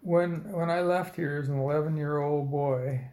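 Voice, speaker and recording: A man in his seventies from Indiana, telling his life story slowly in an oral history interview, with many pauses and fillers. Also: fairly high pitch, steady tone, darkish tone, roomy sound. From Indiana, United States. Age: 70s. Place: old building, a former dormitory.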